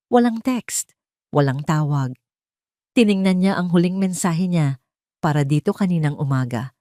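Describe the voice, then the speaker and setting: A woman's voice: on the low side, dark tone, no room sound, clean studio sound. A woman with a Filipino accent narrating a scripted, dramatic audio story.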